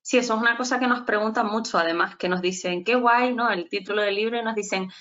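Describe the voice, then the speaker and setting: A woman with a crisp, bright Spanish leads an online panel in a loose, chatty way, often restarting her sentences. Her voice comes through muffled, dull video-call audio. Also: medium pitch, fast. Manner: chatty, with many restarts and fillers.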